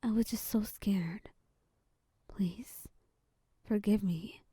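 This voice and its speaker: A woman voicing a protective young male lover who is fierce but guilt-stricken, in a soft, whispery voice. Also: medium pitch, drawn-out words, husky, no echo, exaggerated voice. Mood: guilty.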